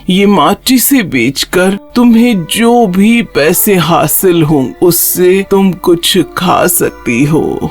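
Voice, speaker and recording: A woman voicing a frail old grandmother, tender and weary, in a children's cartoon story. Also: lowish voice.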